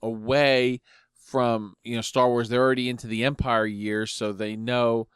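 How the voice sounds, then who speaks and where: A man's voice: medium pitch, flat delivery, a little slow, smooth, no echo, studio quality. A man co-hosting a casual fan podcast, tentatively wondering aloud as he comments. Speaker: podcast co-host.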